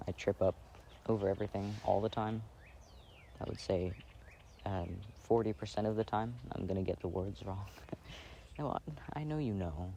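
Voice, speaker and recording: A man acting as a tender, shy boyfriend in an ASMR audio roleplay. His low voice is soft and full of creak, and he talks slowly with halting, self-doubting affection. Faint birdsong and outdoor sound sit behind him. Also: slight background noise. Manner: hesitant, halting, soft-spoken. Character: gentle, insecure, affectionate plant-loving boyfriend reading outdoors. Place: outdoors, sitting on grass. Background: faint birds and outdoor ambience.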